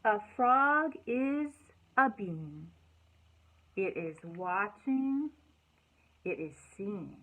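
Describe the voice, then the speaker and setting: A woman hosting a children's storytime, reading aloud and chatting in an animated, encouraging way with simple words for young listeners. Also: mid-range voice, very slow, long pauses, phone-quality sound. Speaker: children's librarian and storytime host.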